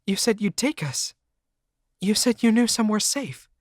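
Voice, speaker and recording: A man's voice: high-pitched, expressive, normal speed, no echo, clean studio sound, voice pushed up. A man voicing a distraught young woman who pleads in a shaky voice. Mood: accusing.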